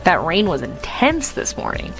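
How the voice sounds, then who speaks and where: A woman acting in an audio drama as a gentle, anxious, self-doubting medical trainee. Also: fairly low pitch, huge pitch swings, moderate pace, gravelly, faint music behind, a faint crowd, heavily acted, poor audio. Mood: uncertain.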